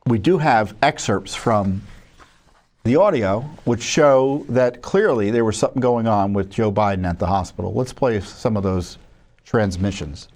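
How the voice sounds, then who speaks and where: American man in halting news commentary. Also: lowish voice, darkish tone. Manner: halting, conversational, often correcting himself. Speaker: watchdog group spokesman presenting records. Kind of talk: news commentary to camera, part read out, part ad-libbed.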